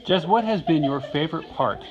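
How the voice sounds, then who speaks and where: A man's voice: a little high, expressive, moderate pace, lo-fi sound. A man asking casual interview questions and giving short acknowledgements. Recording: crowd chatter in the background.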